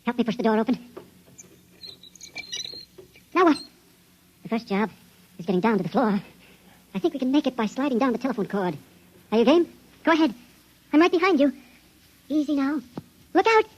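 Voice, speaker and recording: A man's voice: very high-pitched, expressive, fairly quick, long pauses. A man playing an anxious five-inch-tall shrunken man in a 1950s radio drama, urgent and clipped, his voice artificially raised to sound miniature. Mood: anxious, hushed urgency. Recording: dull, noisy 1950s radio recording.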